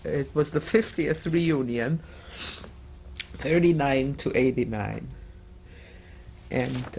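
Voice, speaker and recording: An older woman recounting her memories in an interview, her words coming slowly and haltingly. Her voice carries a gravelly, creaky texture, with frequent pauses as she searches for words. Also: very deep voice, darkish tone, slight background noise. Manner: hesitant, many filler pauses; slow, measured. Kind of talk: oral history testimony.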